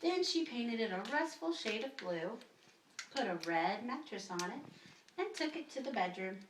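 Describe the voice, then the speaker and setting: A woman's voice: a little high, animated, moderate pace. A woman narrating a children's story expressively, as a storyteller. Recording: roomy, distant mic, faint noise.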